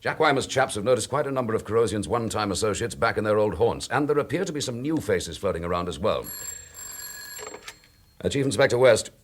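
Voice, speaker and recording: An actor playing a dry, dogged chief inspector in a radio drama, with a British accent and a brisk, wry, authoritative manner. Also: male voice, fairly low pitch, lively intonation, slight background noise, lightly acted. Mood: brisk, businesslike.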